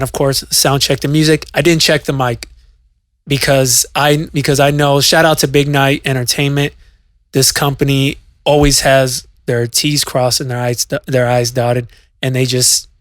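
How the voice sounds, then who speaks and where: A man's voice: medium pitch, fairly bright tone, dry recording, clean studio sound. A male DJ co-hosting a podcast, telling a story at length in casual chat, with many fillers and restarts. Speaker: podcast co-host and DJ.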